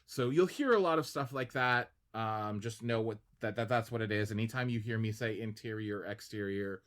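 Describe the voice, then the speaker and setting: A man hosting a podcast, reading the stage directions of a script in a steady, explanatory way. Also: on the low side, normal speed. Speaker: podcast host and narrator.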